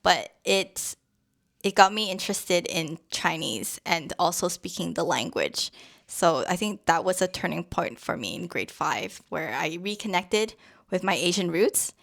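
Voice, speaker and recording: Casting: young woman, Cantonese first language, lowish gravelly voice, hesitant and soft, casual podcast chat. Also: animated, unhurried. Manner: soft, hesitant storytelling with many fillers. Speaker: co-host.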